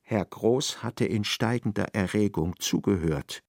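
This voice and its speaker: A man narrating a literary radio play in a measured, precise storytelling style. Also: lowish voice, gravelly, darkish tone, no echo, clean studio sound.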